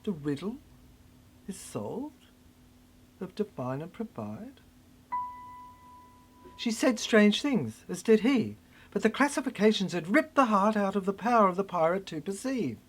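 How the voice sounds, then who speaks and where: A narrator performing a theatrical spoken-word monologue in an emphatic way, with halting repeats. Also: medium pitch, very animated, a little hiss or hum. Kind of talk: dramatic spoken-word narration of a cryptic science-fiction monologue.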